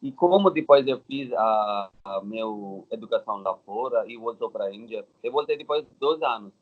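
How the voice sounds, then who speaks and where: Indian guy in his 30s w indian accent telling his story, halting simple phrases, kinda muffled lo-fi sound. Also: mid-range voice, animated. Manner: halting, non-native Portuguese with simple phrasing. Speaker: interview guest. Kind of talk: casual personal storytelling. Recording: dull, lo-fi audio.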